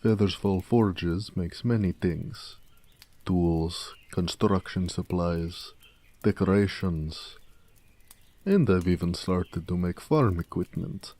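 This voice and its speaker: Male actor as a tender orc blacksmith, low dark voice, mellow, soft-spoken, wistful, romantic audio drama. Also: dry recording. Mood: earnest, measured. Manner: soft, measured, tender delivery with hushed moments. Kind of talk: intimate romantic audio roleplay.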